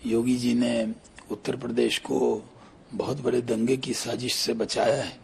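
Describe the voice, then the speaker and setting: Gravelly man giving political statement. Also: medium pitch, little pitch movement, unhurried.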